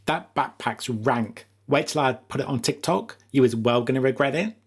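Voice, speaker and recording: A man puts on a mean young schoolgirl, casual and judgmental. The delivery sneers through teenage slang, with a husky edge. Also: mid-range voice, moderate pace, close to an everyday voice. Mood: sneering threat.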